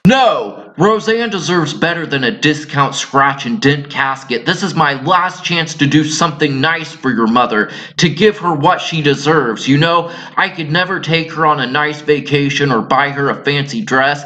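A man's voice: fairly high pitch, normal speed, few pauses, sharp tone. A man voicing a middle-aged, blunt working-class widowed father in a cartoon, resigned and grieving. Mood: wounded, earnest.

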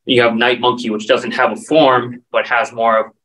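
A man's voice: medium pitch, little pitch movement, normal speed, a bit bright. A man in his forties, a martial arts instructor, explaining his training earnestly as a guest on a video call interview. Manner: earnest, explanatory, lists terms steadily. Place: dim indoor room. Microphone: video call, standing back from camera. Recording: distant video call audio.

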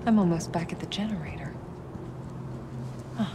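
A woman's voice: on the low side, moderate pace, noisy background, lightly acted. A woman playing a gritty, determined young survivor in a horror video game, talking tersely to herself in a naturalistic way. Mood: tense, resolute. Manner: muttering to herself, terse, sweary.

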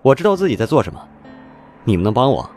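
An actor playing a calm young man who speaks in a relaxed, reassuring way, in an audio drama. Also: mid-range voice, fast, faint music behind. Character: calm, easygoing young man, resolved to face risk.

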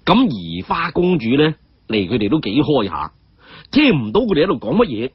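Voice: medium pitch, wildly swooping pitch, a little fast, slightly rough, dry recording. A storyteller with a Cantonese accent narrating a martial-arts tale in a dramatic audiobook style. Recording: dull, muffled audio.

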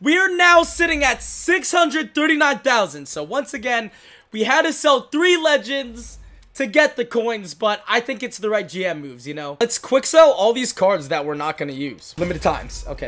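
A young man in his twenties, hoarse from losing his voice, giving excitable casual commentary while opening packs in a video game. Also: very high-pitched. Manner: excitable, hyped outbursts. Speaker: gaming YouTuber. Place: small room at a desk. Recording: music playing behind the voice.

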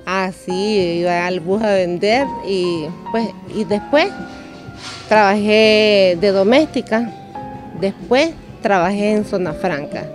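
A woman's voice: mid-range voice, takes it slowly. Middle-aged Nicaraguan woman, music behind. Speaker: restaurant owner being interviewed. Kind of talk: casual interview answers. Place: open-air roofed roadside restaurant. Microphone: handheld microphone on table in front.

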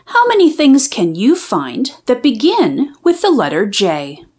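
A woman reads a children's picture book aloud in a high, very animated voice. She takes her time with each phrase, giving it a deliberate, sing-song shape suited to preschoolers. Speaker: children's read-aloud storyteller.

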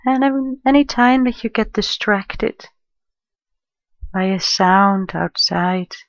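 A woman guides a meditation at a very slow pace, with long pauses between gentle phrases. Her delivery is soothing and unhurried. The recording is dry and a little dull. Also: mid-range voice, dry recording. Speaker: meditation guide, life coach and therapist.

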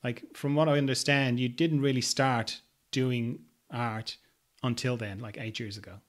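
A man, older, hosting a podcast over a video call and gently asking questions with some hesitation. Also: mid-range voice, steady tone, measured pace. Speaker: podcast host. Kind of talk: casual video call interview.

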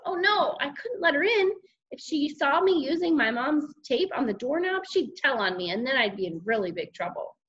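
An excitable, mischievous young boy, voiced by a woman, tells his own story in a children's audiobook. The voice is high and swings widely with childlike exclamations and grumbles. The recording is a little dull. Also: normal speed, few pauses, breathy edge, heavily acted. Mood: excited, worried. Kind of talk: first-person children's audiobook narration.

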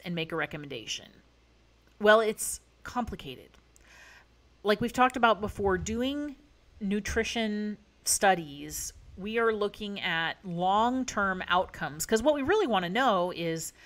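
A woman's voice: on the low side, a bit bright. A woman physician hosting a solo podcast, explaining nutrition science in a conversational way with many fillers and emphatic asides.

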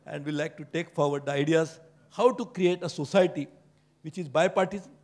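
A man's voice: a little high, a little fast, a little mellow. A middle-aged man speaks formally into a handheld microphone in a conference hall, giving a speech at a book launch. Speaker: panel speaker.

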